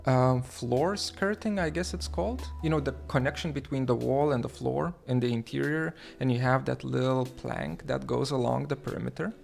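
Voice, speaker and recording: Gravelly-voiced man chatting on a livestream. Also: mid-range voice, darkish tone, soft background music. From Lithuania. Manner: halting, many fillers and restarts, reads chat aloud. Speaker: livestream host. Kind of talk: casual livestream chat.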